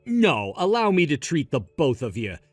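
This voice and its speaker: A man plays a devoted, sentimental family friend in an audio drama. His voice is slightly high, earnest and sincere, recorded close and very dry. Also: big pitch swings, normal speed, no echo, soft background music. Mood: earnest, hopeful. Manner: heartfelt, sincere. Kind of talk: melodramatic audio story acting.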